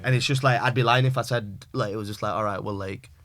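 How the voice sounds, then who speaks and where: A man's voice: fairly low pitch, steady tone, fast, crowd noise. A young man from Pakistan, chatting loosely as a podcast guest, full of fillers and slang. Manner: rambling, filler-heavy, uses British slang. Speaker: guest wrestler. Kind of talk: casual podcast chat.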